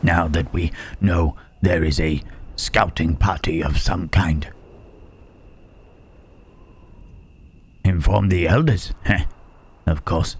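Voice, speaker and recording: A man playing a gentle, melancholy Frankenstein's monster in an intimate ASMR roleplay, speaking haltingly and formally in a husky, breathy rasp. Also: low voice, steady tone, full character voice. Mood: wry, resigned. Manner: slow, halting, archaic formal phrasing with long pauses.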